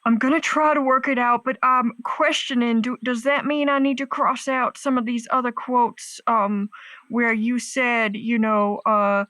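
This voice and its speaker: A woman's voice: a little high, steady tone, measured pace, fairly bright tone, dry recording. A woman playing a naive, flighty and literal-minded caller to a radio advice show in an audio comedy, earnest and hesitant, stammering as she goes.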